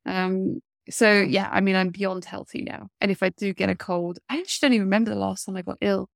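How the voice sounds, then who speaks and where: A woman's voice: medium pitch, steady tone, a little fast, creaky, no echo, clean studio sound. A woman in her forties, a coach speaking as an interview guest, talking earnestly with a blocked-up nose and many fillers. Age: 40s. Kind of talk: casual interview chat.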